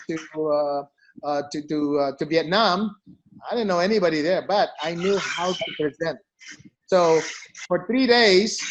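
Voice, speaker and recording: A middle-aged man from the Philippines recounts his story with emphasis, leaning on "you know" and "okay?" as he talks. His voice sits a bit high for a man, with faint noise behind him. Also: rough recording. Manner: emphatic, repeats for stress, peppers talk with "you know" and "okay?". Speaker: company CEO. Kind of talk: personal story in an interview.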